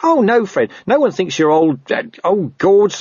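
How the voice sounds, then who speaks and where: Put-upon corporal for comic fantasy audiobook, man acting, high anxious wail, very animated, always trying to soothe. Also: measured pace, husky, dry recording, muffled recording, heavily acted. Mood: flustered. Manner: high-pitched, flustered, wailing.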